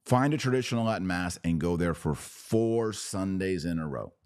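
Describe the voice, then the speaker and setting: A man's voice: low voice, lively intonation, normal speed, a little mellow, no echo, studio quality. A man hosting a religious podcast, speaking straight to his viewers in an earnest, emphatic, preacherly way. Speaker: podcast host. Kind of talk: live religious commentary to viewers, with exhortation and prayer.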